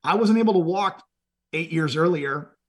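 A middle-aged man from Texas talks with a husky, slightly high voice. He speaks earnestly and motivationally, often repeating a word as he gets a phrase started. His tone is conversational, like a podcast guest sharing stories. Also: moderate pace. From Texas, USA. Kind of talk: conversational storytelling.

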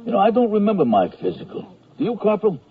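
An actor playing a lazy, gruff, clueless Army infirmary sergeant, blunt and offhand, in a radio comedy. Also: male voice, sits high, huge pitch swings, fast, exaggerated voice. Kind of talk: 1970s radio comedy acting. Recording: tinny phone-like old radio broadcast.

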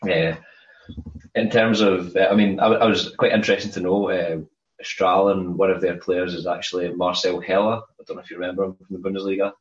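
A man's voice: low voice, flat delivery, a little fast. A Scottish man co-hosting a podcast, chatting casually and rambling, often repeating words as he starts a thought. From Scotland. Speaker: podcast co-host. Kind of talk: casual chat. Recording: roomy dull lo-fi sound.

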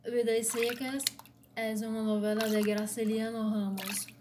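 Brazilian woman, Portuguese-accented, flat slow read, noisy recording. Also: on the high side. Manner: slow, deliberate reading in short phrases. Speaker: blogger. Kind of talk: read-aloud commentary.

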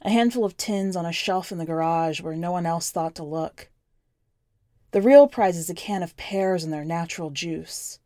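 A woman reading scripted first-person fiction as a podcast narrator, with measured, deliberate phrasing. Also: on the low side, dark tone, dry recording, studio quality. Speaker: podcast host and story narrator.

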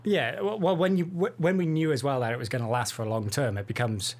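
A man's voice: mid-range voice, fairly even intonation, quick pace. Male host, mid-range voice, informal chatty interviewing. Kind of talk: casual conversational interview.

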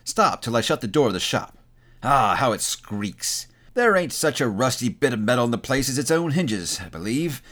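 A man's voice: mid-range voice, a bit bright. Man as an old storybook miser, sly, jovial, wheedling, swooping delivery. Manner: wheedling, folksy, self-satisfied. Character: sly old male rag-and-bone dealer, nearly seventy, jovial miser. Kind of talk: storybook character reading.

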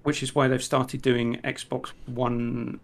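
A man's voice: medium pitch, flat delivery, takes it slowly. A man with an English accent chatting casually, rambling with lots of ums and blunt, irritated asides. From England. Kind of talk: casual chat.

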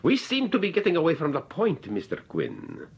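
A man's voice: fairly high pitch, wildly swooping pitch, normal speed, creaky, slightly rough, highs cut off, exaggerated voice. An actor playing a stern male accomplice who wants the spectacles, in a radio drama. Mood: curt. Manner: curt, businesslike. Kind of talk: 1940s radio acting.

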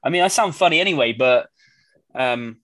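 A man's voice: medium pitch, lively intonation, moderate pace, fairly bright tone, dry recording, studio quality. A man hosting a casual podcast, upbeat and jokey, sounding a bit nasal and congested from a cold. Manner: upbeat, jokey, laughs often. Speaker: podcast host. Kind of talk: casual chat.

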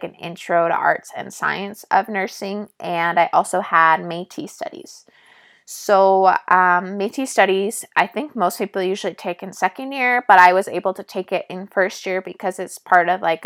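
Raspy young woman chatting casually. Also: lowish voice, fairly bright tone. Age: young adult. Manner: chatty, lots of fillers and asides. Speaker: nursing student. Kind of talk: casual vlog storytelling.

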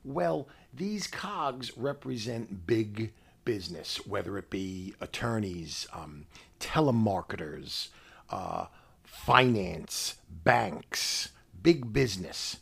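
A man with a New York accent co-hosts a casual podcast. His voice is husky and fairly low, with a heavy creak to it. He explains things in a halting, measured way. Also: lively intonation, a bit bright. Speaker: podcast co-host.

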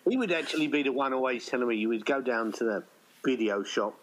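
A man's voice: medium pitch, fast, fairly bright tone, rough recording. A middle-aged man chatting casually as an interviewed writer-director, rambling and anecdotal. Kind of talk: casual chat.

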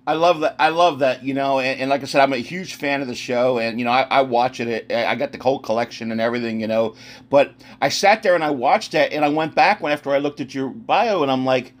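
A man hosting a podcast interview, effusive and rambling, with lots of fillers. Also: mid-range voice. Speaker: podcast host. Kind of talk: casual interview chat.

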